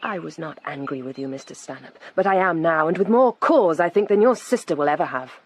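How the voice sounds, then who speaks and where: An actress playing a proud, principled young English gentlewoman in a period radio drama, formal and dryly ironic. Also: low voice, animated, a little fast, a little hiss or hum. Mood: indignant.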